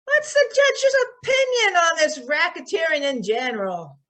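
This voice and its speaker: A woman playing a pushy, loud news reporter in an old time radio drama. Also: very high-pitched, very animated, normal speed, heavily acted. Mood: insistent.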